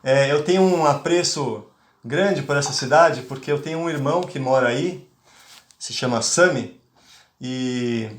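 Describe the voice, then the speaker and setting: A man's voice: medium pitch, unhurried, roomy sound. A man from Bahia giving a talk to teachers as a conflict mediator, speaking with frequent fillers and restarts. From Bahia, Brazil. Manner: hesitant, many fillers and restarts. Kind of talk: online lecture to teachers.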